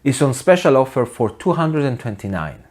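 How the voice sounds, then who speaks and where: A man in his forties speaks at a middle pitch, laying out his opinions to camera. His delivery is deadpan and wry, in the style of a video essay. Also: normal speed. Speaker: host of a music production video channel. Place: small home studio, indoors.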